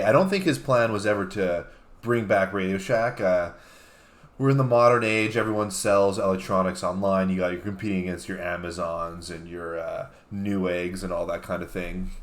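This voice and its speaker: A man with a quite low voice chats as a show co-host. His delivery is casual and conversational, a bit hesitant, with plenty of ums and trailing pauses.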